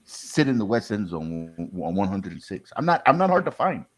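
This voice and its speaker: A man's voice: on the low side, very animated, moderate pace. A man in a casual chat, reacting to a video in a bemused way and often repeating himself. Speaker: co-host or guest commenter.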